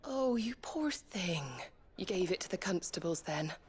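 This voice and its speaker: An actress playing a soothing, gossipy village woman in a fantasy game, hushed and commiserating. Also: fairly low pitch, expressive, measured pace, husky, heavily acted. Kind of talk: video game drama dialogue.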